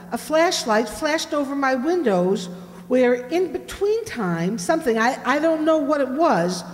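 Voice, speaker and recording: Woman playing earnest lady from a radio audience telling her story, swoopy high voice, big hall. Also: a little mellow. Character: earnest female audience member telling a dramatic tale. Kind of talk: 1950s radio acting, storytelling. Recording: reverberant hall.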